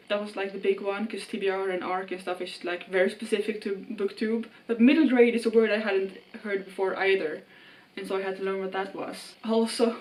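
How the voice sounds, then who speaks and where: A young woman from Sweden with a Swedish accent, vlogging casually about books in a hesitant, self-deprecating way, trailing off quietly. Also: mid-range voice, far from the mic, low-quality recording. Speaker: book vlogger. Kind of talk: casual vlog answering a tag.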